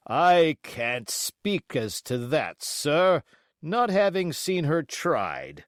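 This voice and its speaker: A man's voice: on the high side, very animated, drawn-out words, dry recording, clean studio sound, heavily acted. A man voicing a blunt, stern sea captain in an audiobook, speaking formally in clipped, emphatic phrases. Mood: blunt, dissatisfied. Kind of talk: audiobook character acting.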